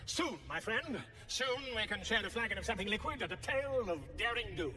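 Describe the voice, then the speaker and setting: Chirpy adventurer, high, bouncy, florid, fantasy game. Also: male voice, animated, brisk, recorded from a distance. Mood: cheery. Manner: florid, enthusiastic. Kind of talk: video game character acting.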